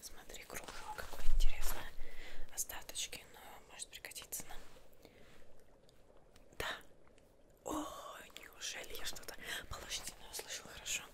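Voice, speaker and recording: A young woman whispers softly and breathily in an ASMR roleplay as a witch seamstress, murmuring reassuringly to her client close to the microphone. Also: normal speed, pauses often. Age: young adult. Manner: soft, murmuring, reassuring, addresses the listener as a client. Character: witch seamstress fussing over fabrics for a client. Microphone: close microphone, facing camera.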